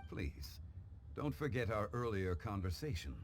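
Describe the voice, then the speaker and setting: An actor playing a courteous, composed, scholarly gentleman in a video game cutscene, formal and measured in manner. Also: on the low side, very animated. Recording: clear background noise and ambience.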